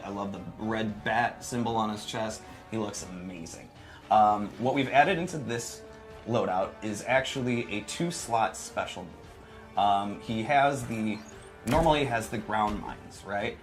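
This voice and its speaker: An enthusiastic man presenting a video game demo in a casual commentary style. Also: fairly low pitch. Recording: music playing behind the voice.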